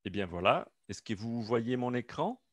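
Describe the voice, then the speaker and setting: A man's voice: mid-range voice, animated, moderate pace, no echo. A man speaking French as a second language, giving a seminar talk over a video call, hesitant with many fillers and restarts. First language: not French. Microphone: computer mic on a video call.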